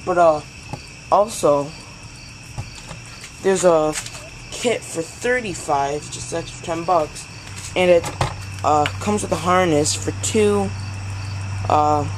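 A young male hobbyist giving a casual, rambling, slangy product review outdoors at night. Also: on the high side. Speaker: hobbyist vlogger. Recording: noisy outdoor recording with insects.

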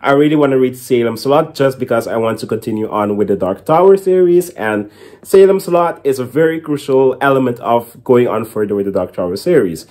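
A man's voice: mid-range voice, expressive, a little fast, darkish tone. Young man chatting casually about books. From Caribbean. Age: young adult. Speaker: book reviewer and channel host. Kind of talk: casual talk to camera. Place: small indoor room at home with bookshelf.

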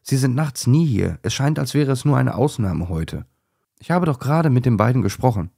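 A man voicing a friendly, calm male Siberian hedgehog with a Russian accent in a children's story. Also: mid-range voice, normal speed, gravelly, husky, voice pushed up. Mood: firm, soothing.

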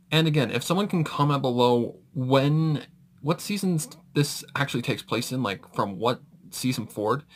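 Young adult man, reaction host, mid-pitched voice, casual and rambling delivery. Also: a bit bright.

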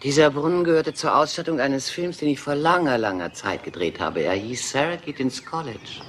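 An actress playing an aging, tired film star in a crime radio play, gracious but easily shaken. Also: female voice, rumbling low, expressive, normal speed, a little hiss or hum. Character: aging, weary female film diva.

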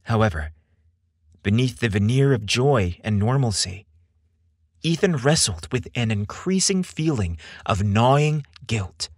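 A man reading scripted fiction as a storyteller, with theatrical, deliberate phrasing. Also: medium pitch, expressive, no echo, clean studio sound. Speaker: narrator of a fiction podcast. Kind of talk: scripted dramatic story narration.

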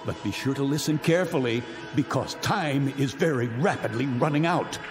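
A man's voice: mid-range voice, lively intonation, normal speed, in a large hall, over music, natural voice. An actor playing a scornful man who taunts his captives in a radio drama, with a mocking, theatrical manner. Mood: commanding.

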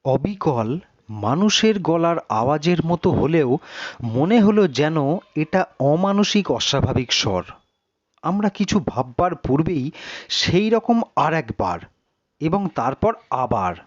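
A man reading a suspense story in a measured, literary, dramatic narration. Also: on the high side, big pitch swings, no room sound, muffled recording.

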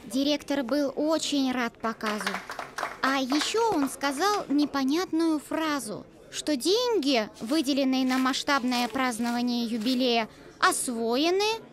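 A woman voicing a high earnest schoolboy. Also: expressive, a little slow. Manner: childlike, earnest, sing-song. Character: first-grade boy Petya, earnest and naive. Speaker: narrator. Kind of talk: storybook narration and poem recital. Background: loud children's music.

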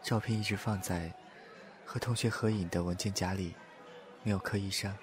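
A man playing a gentle, reserved young man in an audio drama, speaking candidly and softly in measured, short phrases. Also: sits low, steady tone, natural voice. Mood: resigned, candid. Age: young adult. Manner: soft-spoken, measured, pausing between short phrases. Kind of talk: Chinese audio drama acting, confessional. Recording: music and crowd chatter behind voice. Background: music and crowd.

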